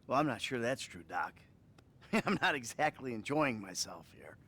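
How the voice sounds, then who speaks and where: A man's voice: mid-range voice, sing-song, normal speed, sharp tone, husky, exaggerated voice. An actor playing a troubled middle-aged American criminal in a video game, rambling and profane as he confides in his therapist. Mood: defensive. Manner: profane, rambling, agitated, sighing. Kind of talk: acted video game cutscene dialogue.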